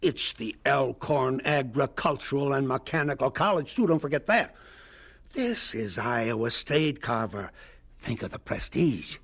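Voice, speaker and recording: An actor playing a proud, stuffy, easily flustered college president in a radio drama, with a hesitant, sputtering delivery. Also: male voice, mid-range voice, animated, unhurried, gravelly, a bit bright, breathy edge, exaggerated voice. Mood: flustered, pleading. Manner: hesitant, sputtering, self-important.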